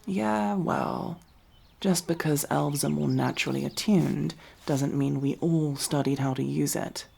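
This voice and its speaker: A woman's voice: low voice, a little slow, vocal fry, warm tone, a little hiss or hum, exaggerated voice. A woman playing a wry, loyal elf rogue in an intimate ASMR fantasy roleplay, with a casual, teasing, dryly supportive way of talking. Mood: thoughtful, encouraging.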